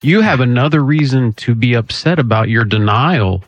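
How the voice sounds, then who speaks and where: A man's voice: mid-range voice, moderate pace, a little hiss or hum. A man hosting a legal call-in radio show, bantering casually with his crew and taking callers. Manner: relaxed, joking banter with crew. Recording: slightly dull radio sound.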